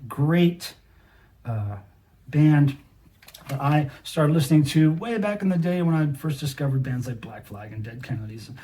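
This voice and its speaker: A man's voice: a little high, expressive, unhurried, mellow. A middle-aged man hosting a casual show-and-tell, talking to viewers directly with a collector's enthusiasm. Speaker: video host, cassette collector.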